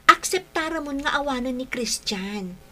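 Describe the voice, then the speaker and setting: A woman's voice: high-pitched, animated, moderate pace, a bit bright. A woman playing a pushy young best friend in a Filipino radio drama, with insistent coaxing. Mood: exasperated, insistent.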